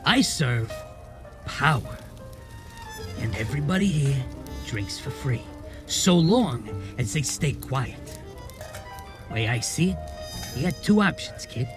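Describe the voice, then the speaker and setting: Slimy noir mob boss running a bar, slow taunting drawn-out lines, swoopy pitch, music behind. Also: male voice, expressive. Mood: quietly menacing. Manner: taunting, slangy gangster talk. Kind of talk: 1940s noir radio drama acting.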